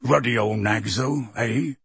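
A gruff half-giant gamekeeper, blunt and stubborn, voiced by a man in a testy, outspoken storybook reading. The voice has a slightly husky edge. The recording is close and dry. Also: medium pitch, measured pace, no room sound, heavily acted.

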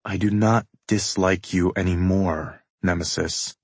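A brooding, remorseful fiancé, played by a man reading an audiobook. The voice is very deep and husky, measured and stiff with pride, in a dry recording. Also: steady tone, no echo, full character voice. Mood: subdued. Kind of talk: audiobook character dialogue.

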